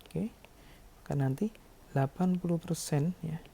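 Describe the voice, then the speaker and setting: A man's voice: on the high side, fairly even intonation, a little slow, creaky, dark tone, a little hiss or hum. A man explaining worked calculations step by step as a lecturer, often adding small check-in words. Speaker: accounting lecturer.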